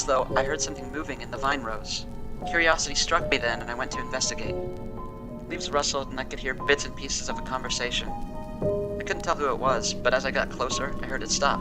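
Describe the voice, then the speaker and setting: An actor playing a shaken, wary man who recounts a frightening experience in an audio drama, telling it with dramatic pauses. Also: medium pitch, close to an everyday voice. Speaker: interviewee. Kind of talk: audio drama monologue, interview testimony. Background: musical score.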